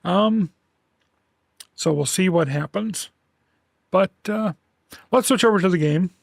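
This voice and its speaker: Gravelly man chatting on a livestream. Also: high voice, slow, no echo, clean studio sound. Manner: rambling, many restarts and fillers; relaxed, soft-spoken. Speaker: streamer. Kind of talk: casual livestream chat. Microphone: headset.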